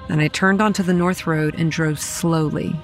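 A woman's voice: deep voice, steady tone, normal speed, soft background music. A young woman acting a wry, weary campground manager narrating a horror audio drama in a dry, exasperated way. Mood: grim, uneasy. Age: young adult. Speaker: narrator and lead character.

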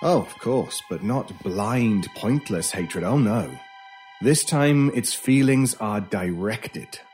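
A man acting a mysterious, intense stranger in an old-time radio horror drama, speaking deliberately with theatrical pauses. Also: on the low side, lively intonation, darkish tone. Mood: ominous, intense. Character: intense mysterious male stranger, brooding paranormal expert. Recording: music under the voice. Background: music.

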